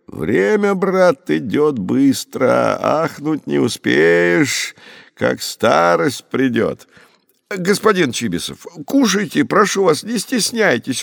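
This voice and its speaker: A jovial, fussy middle-aged father from a storybook, acted out by a male narrator. His voice is pushed up high and drawn out in a singsong, and he is easily exasperated. The sound is dry and close. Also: creaky, dry recording, heavily acted.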